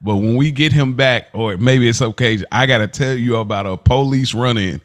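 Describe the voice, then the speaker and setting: A man co-hosting a TV review podcast in relaxed, laid-back casual chat. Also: mid-range voice, moderate pace. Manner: laid-back, laughs often. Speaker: podcast co-host.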